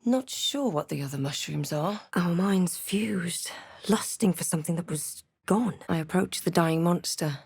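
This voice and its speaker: A woman playing a watchful, wry fantasy adventurer in a video game, recounting her journey in a measured storybook way with dry asides. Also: on the low side, lively intonation, gravelly, a little mellow, slightly rough, no room sound, heavily acted. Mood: alert, brooding. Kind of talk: video game character voice lines.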